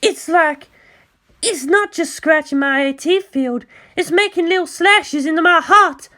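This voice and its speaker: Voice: unhurried, bright, forceful tone, full character voice. A narrator voicing a frightened teenage girl pilot in agony, gasping and panicked, in a dramatic story reading. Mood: pained panic. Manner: gasping, high-pitched, panicked. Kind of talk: dramatic audiobook character acting.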